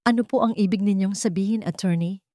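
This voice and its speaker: A woman's voice: medium pitch, quick pace, warm tone, dry recording, studio quality, pitched above the performer's own voice, close to an everyday voice. A woman voicing an anxious but determined young heroine, hesitant and earnest, in an audio story. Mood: puzzled.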